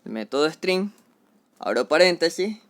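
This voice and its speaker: A young man walks through a programming lesson one step at a time, narrating each action as he does it. His voice is a little high, smooth and clear, recorded dry and close on a desk microphone. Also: very animated, normal speed, a bit bright, no room sound. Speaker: programming tutor. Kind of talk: screen-recorded coding tutorial.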